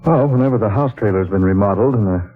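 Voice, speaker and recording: A man's voice: on the low side, fast, close to an everyday voice. An actor playing an earnest male writer who narrates his journey, in 1940s radio drama style. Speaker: narrator and lead character. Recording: tinny lo-fi old radio broadcast with music.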